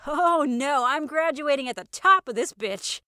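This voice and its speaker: A woman performs a combative young wife in a comic audio story. Her voice is on the high side, loud and indignant, with brash sarcasm. The recording is close and dry. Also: lively intonation, measured pace, dry recording, heavily acted. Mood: defiant sarcasm.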